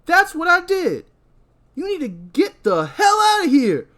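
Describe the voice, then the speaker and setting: Give me a loud, crude, bossy man, as a woman puts him on, yelling at high pitch. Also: huge pitch swings, unhurried, exaggerated voice. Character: bossy shouting adult man boss.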